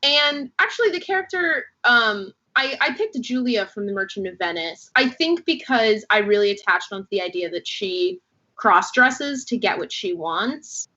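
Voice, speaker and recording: A woman's voice: mid-range voice, expressive, moderate pace, bright, forceful tone. A woman, an actor, talking casually and reflectively, hesitant as she thinks aloud. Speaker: actor in a play. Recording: slightly dull, lo-fi audio.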